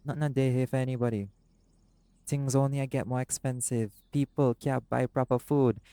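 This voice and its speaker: A man playing a gravelly island boyfriend. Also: mid-range voice, fairly even intonation, normal speed, dry recording, lightly acted. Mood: affectionate. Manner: soft-spoken, affectionate, creole-inflected speech. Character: doting laid-back island boyfriend, seagull shifter. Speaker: audio roleplay performer. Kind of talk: intimate audio roleplay.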